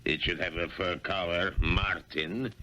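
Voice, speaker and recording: An actor playing a gruff, blunt tailor in a 1970s radio drama, brusque and dismissive as he haggles. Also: low voice, steady tone, a little slow, pushed and bright. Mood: blunt, incredulous. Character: gruff, blunt, shrewd Russian tailor fond of brandy. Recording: noisy old 1970s radio broadcast.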